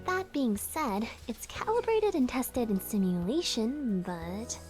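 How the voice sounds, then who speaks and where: A high, animated young woman performed by a game reader, playing a modest student engineer in a visual novel. She sounds earnest and technical, with music behind her. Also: slow pace. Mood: explaining. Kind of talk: visual novel character dialogue.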